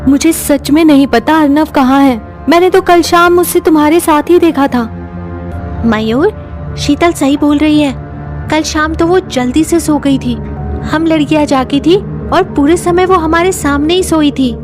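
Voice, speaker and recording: A woman plays a young college girl in a horror cartoon. The voice is very high-pitched and plainly spoken, with music clearly behind it. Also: a little slow. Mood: explaining.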